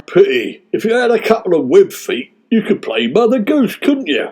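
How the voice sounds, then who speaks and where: A man's voice: sits high, sing-song, normal speed, very noisy. A man playing a wily, blunt, teasing theatrical agent in radio sketch comedy. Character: wily, blunt male theatrical agent, cheerfully needling and money-minded. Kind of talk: sketch comedy in the manner of 1950s British radio.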